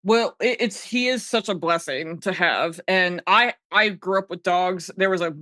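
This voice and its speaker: A woman's voice: lowish voice, moderate pace, pushed and bright, dry recording, studio quality. A woman from South Jersey with an American accent, chatting casually and telling personal stories, often breaking off and restarting her sentences. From South Jersey, United States. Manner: informal, frequent self-interruptions. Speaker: podcast guest, audio and video producer. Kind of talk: casual chat, personal storytelling.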